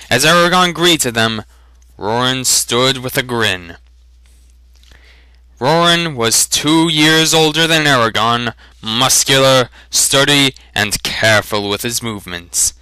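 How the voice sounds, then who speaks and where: Slow, bright-voiced man reading dramatically. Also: mid-range voice, smooth, dry recording. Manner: deliberate, theatrical storytelling. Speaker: reader of a fantasy novel. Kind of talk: dramatic audiobook reading with joking asides.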